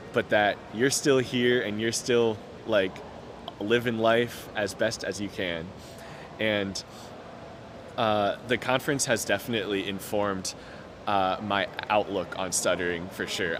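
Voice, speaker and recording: A young man who stutters, telling his personal story in an earnest, open way, with frequent repeated sounds, broken-off words and many fillers. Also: fairly low pitch. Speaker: interviewee. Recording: noticeable outdoor background noise.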